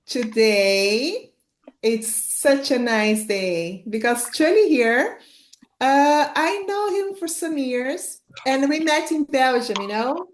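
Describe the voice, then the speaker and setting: High-voiced woman host, echoey room. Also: expressive, slow pace. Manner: friendly, chatty introductions. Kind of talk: casual interview hosting.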